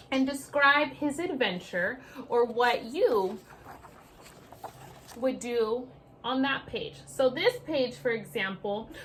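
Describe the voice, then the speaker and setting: A woman teacher doing an expressive children's read-aloud, speaking directly to her young listeners in an animated way. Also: fairly high pitch, a little hiss or hum. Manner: animated, addresses children directly with questions and asides. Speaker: teacher reading a story aloud to young pupils.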